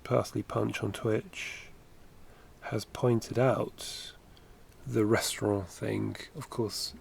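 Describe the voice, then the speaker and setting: A man's voice: on the low side, fairly even intonation, very slow, vocal fry, a little hiss or hum. A man in his thirties chatting in a rambling, self-correcting way while drawing on a livestream, recorded on a headset at home. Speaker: animation streamer. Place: home studio, indoors.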